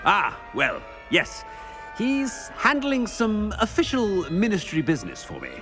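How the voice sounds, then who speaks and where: An actor playing a haughty, irritable middle-aged headmaster in a video game, blustering and stammering when flustered. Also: male voice, sits high, wildly swooping pitch, a little slow, music in the background, heavily acted. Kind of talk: video game dialogue acting.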